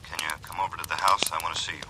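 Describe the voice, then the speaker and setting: An actor playing a grave, steady police detective in a 1940s radio drama, measured and somber. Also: male voice, sits low, lightly acted. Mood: hushed. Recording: noisy vintage radio recording with clicks.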